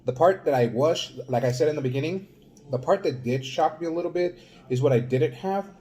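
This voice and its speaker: Mellow-voiced man rambling in a vlog. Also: fairly high pitch, lively intonation. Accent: Mexican-influenced Spanish. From El Salvador. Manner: rambling, halting, many fillers. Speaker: vlogger. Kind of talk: casual vlog talk.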